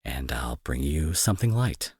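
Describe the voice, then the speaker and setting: A man acting in an intimate audio roleplay as a gruff young bully who is secretly worried and protective, talking to the listener with sarcasm and exasperation. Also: a bass voice, sing-song, moderate pace, a little mellow, no room sound, clean studio sound, exaggerated voice. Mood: worried, guarded.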